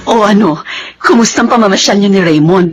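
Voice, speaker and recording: A woman's voice: medium pitch, a little fast, music in the background, lightly acted. A woman playing a teasing, inquisitive and supportive best friend in a radio drama.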